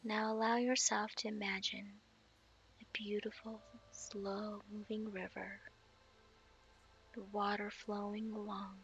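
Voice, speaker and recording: A woman guiding a meditation in a soft, slow, half-whispered voice. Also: mid-range voice, little pitch movement, dull audio, slight background noise. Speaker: meditation guide. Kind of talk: guided meditation.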